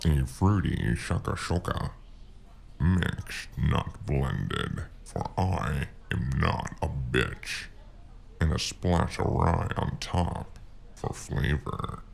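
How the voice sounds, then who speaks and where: A genteel, eccentric gentleman spy in a noir audio drama, with an extremely deep, gravelly voice. He is pompous and teasing, and his theatrical lines rise and fall in big swoops over guitar music. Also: animated, full character voice. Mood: smug, savoring. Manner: pompous, theatrical, drawn-out flowery phrasing. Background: plucked guitar music.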